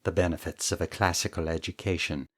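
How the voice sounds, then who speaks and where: A man's voice: low-pitched, fairly even intonation, brisk, fairly bright tone. A man playing a suave megalomaniac psychopath villain in a comedy audio drama, speaking with pompous, formal mock courtesy. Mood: grandiose, menacing. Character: suave, theatrical megalomaniac psychopath villain leading a legion from hell.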